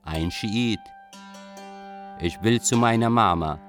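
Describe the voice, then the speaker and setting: Reflective young refugee writer, lowish voice. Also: male voice, takes it slowly, a bit bright. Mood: melancholic, thoughtful. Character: young male Iraqi refugee writer, thoughtful, wryly melancholic. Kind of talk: literary first-person radio drama narration. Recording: music bed behind voice.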